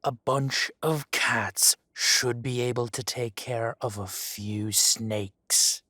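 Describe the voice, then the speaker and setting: A smug dragon crime lord, drawls slowly with a husky, breathy voice. He teases and threatens in the same unhurried tone, often sinking into a low whisper. The sound is close and dry. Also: fairly even intonation, sharp tone, dry recording. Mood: stern. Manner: slow, smug, teasing, drops to whispers. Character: smug dragon mafia boss, teasing and menacing. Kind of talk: audio roleplay acting.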